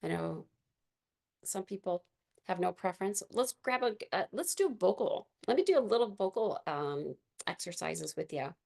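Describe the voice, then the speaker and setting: A woman with a raspy voice leading a gentle movement and meditation class, giving soft, unhurried instructions with fillers. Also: mid-range voice, lively intonation.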